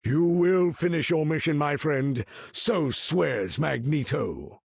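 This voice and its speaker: An actor voicing an imperious, weary older mutant leader in a video game, with grandiose, formal, commanding speech and a gravelly tone. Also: male voice, fairly high pitch, lively intonation, slow, dry recording, studio quality, full character voice. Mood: grave, resolute. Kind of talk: video game character voice lines.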